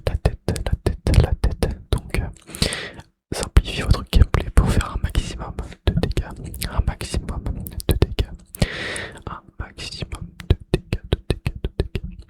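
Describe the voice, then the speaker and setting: A man whispering in a breathy, unvoiced voice with mouth sounds, in an ASMR style, softly repeating phrases. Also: a little slow. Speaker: ASMR video creator and host.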